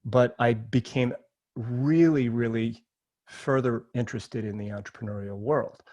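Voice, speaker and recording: A middle-aged man from Maine, a podcast guest telling personal stories casually and informally, often halting and repeating words. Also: mid-range voice, fairly even intonation, darkish tone, no room sound. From Maine, United States.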